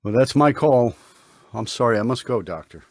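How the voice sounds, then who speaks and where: An actor playing a calm, kindly, mysterious doctor in an old-time radio drama, speaking gently and reassuringly. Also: male voice, medium pitch, moderate pace, dry recording, clean studio sound, close to an everyday voice. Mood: calm, polite.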